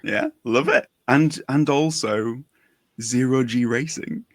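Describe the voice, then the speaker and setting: A man's voice: medium pitch, slow pace, no echo, studio quality. A man interviewing in a casual podcast chat, informal and enthusiastic in manner.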